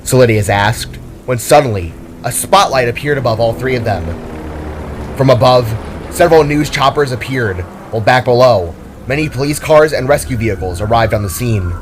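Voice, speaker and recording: A man's voice: mid-range voice, normal speed, slight background noise. A man reading scripted fantasy action as a dramatic story narrator.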